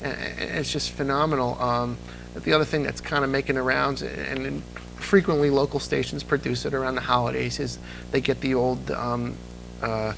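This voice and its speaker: A man's voice: mid-range voice, little pitch movement, moderate pace, gravelly, over music, rough recording. A middle-aged man chatting casually as an interview guest, telling anecdotes with enthusiasm and emphatically repeating words. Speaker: interview guest, old-time radio fan.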